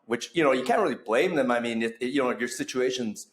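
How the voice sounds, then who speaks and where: A man's voice: mid-range voice, expressive, fast. Guy hosting a podcast, chatty and rambly, lots of uh and um, echoey space. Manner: rambling, many fillers and restarts. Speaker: podcast host. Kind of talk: casual chat. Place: bar under construction. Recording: echoey room.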